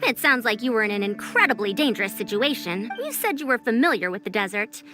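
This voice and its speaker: A woman's voice: a little high, sing-song, fast talker. An actress voicing a youthful female scholar with old-fashioned elder airs and a formal, condescending manner. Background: soft game music.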